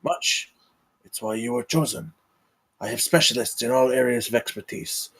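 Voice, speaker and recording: An actor playing a commanding count, firm and authoritative, with a gravelly, husky voice, in a script table read. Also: male voice, medium pitch, normal speed, a bit bright. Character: commanding count, male master of a household. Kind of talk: live table read of a screenplay.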